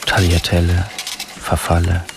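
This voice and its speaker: Sullen mutterer, radio drama, deep, creaky, terse, music behind. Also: male voice, monotone, measured pace. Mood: sullen, muttering.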